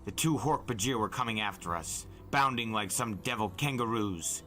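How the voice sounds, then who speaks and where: Deep, forceful male storyteller. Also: moderate pace, soft background music. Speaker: storyteller, podcast narrator. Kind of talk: dramatic audiobook narration.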